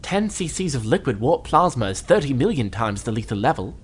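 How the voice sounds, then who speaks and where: An actor playing a serious starship officer with a husky voice and an earnest, formal delivery. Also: male voice, mid-range voice, big pitch swings, a little fast. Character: serious starship officer bearing grave news. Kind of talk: fan audio drama acting.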